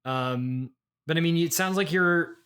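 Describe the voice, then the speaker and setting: A man hosting an improvised comedy interview, deadpan and probing, with a hesitant way of putting his questions. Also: fairly high pitch, a little slow. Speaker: podcast host, interviewer.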